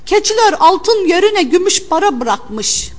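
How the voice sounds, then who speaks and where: Gullible, excitable village folk one female narrator acting, very high exclamations, storybook style, heavy reverb. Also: measured pace, full character voice. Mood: complaining. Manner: loud, exclaiming. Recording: long hall-like reverb.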